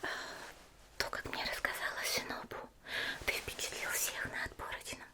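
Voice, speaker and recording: A woman's voice: normal speed, close to an everyday voice. A woman playing a sweet, admiring young female demon slayer in a whispered ASMR roleplay, with a hesitant, coy manner. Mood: sweet, proud. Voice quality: soft whisper.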